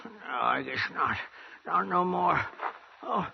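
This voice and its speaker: A high-voiced old prospector, folksy and excitable, drawls in rustic American speech with plenty of gravel. It is old radio western acting on a dull, slightly noisy recording. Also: male voice, slow pace, crowd noise, heavily acted. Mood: weary, failing. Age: older. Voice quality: creaky, high-pitched. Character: old frontier prospector, folksy, stubbornly hopeful.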